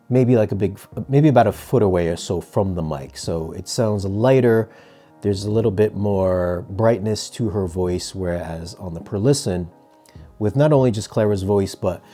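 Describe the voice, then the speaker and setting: Man, lowish pitch, soft mellow tone, conversational product reviewer, measured explanations, faint music behind. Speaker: audio gear reviewer and presenter. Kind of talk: product review talk to camera.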